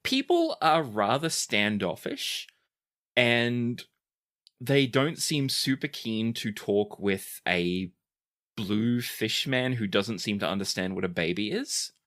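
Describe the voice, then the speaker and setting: A man's voice: fairly low pitch, very animated, slow pace, fairly bright tone. A man speaking as game master, improvising narration for a tabletop game in a conversational, hesitant way.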